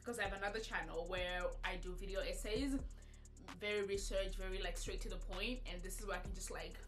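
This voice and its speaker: A woman's voice: medium pitch, fairly even intonation, brisk, a bit bright. Chatty young woman from Zimbabwe. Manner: chatty, candid, lots of filler "like". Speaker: vlogger. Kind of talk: casual get-to-know-me Q&A. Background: background music.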